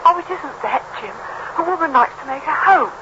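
An actress playing an anxious, faltering wife in a 1960s radio suspense drama. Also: female voice, high voice, expressive, fairly quick. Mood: resigned, uneasy. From South Africa. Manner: faltering, repeating words. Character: frightened, anxious wife of a guilty man. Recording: dull, very hissy old radio recording.

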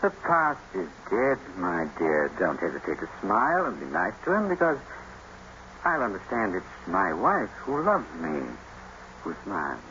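Casting: proper, devoted gentleman, extremely animated intonation, expressive, mid-century radio drama with dull, faintly noisy sound. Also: low voice, moderate pace, full character voice. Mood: reassuring. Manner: genteel, expressive. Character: devoted, proper gentleman husband, easily indignant. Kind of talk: 1940s-50s radio drama acting.

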